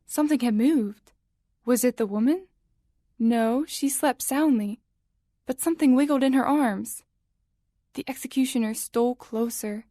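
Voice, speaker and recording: Woman narrator, fairly high voice, measured, suspenseful scripted storytelling, dry close recording. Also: dark tone, no room sound.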